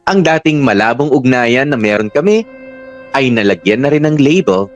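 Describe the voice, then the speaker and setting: A man's voice: medium pitch, expressive, moderate pace, muffled recording. A man narrating a love story in the first person, in radio drama style. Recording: music bed under voice.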